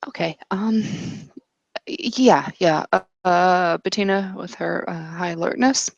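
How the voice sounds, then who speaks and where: Slightly creaky woman narrating a tabletop game. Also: fairly low pitch, slow, no room sound, muffled recording. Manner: hesitant, thinking aloud. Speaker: game master narrating a roleplay.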